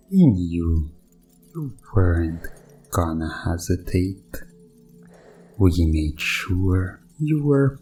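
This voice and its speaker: A man's voice: sits low, darkish tone, exaggerated voice. A man playing a sinister doctor in a hypnosis ASMR roleplay, soothing and commanding his test subject. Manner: slow, commanding, soothing, deliberate countdown-style delivery.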